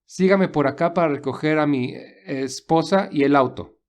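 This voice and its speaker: A man's voice: fairly high pitch, normal speed, darkish tone, lightly acted. A man playing a courteous, resourceful young man in an audio story, speaking earnestly and reassuringly. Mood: courteous, persuasive. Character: polite, resourceful young man stranded with his companion. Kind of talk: audio story character dialogue.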